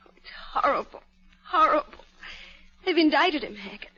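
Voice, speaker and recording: Overwrought young woman, very animated, high voice, 1940s melodrama on a dull recording. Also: moderate pace. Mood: distraught. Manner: dramatic, emotional. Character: distraught young society woman, impulsive and brave. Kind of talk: 1940s radio melodrama acting.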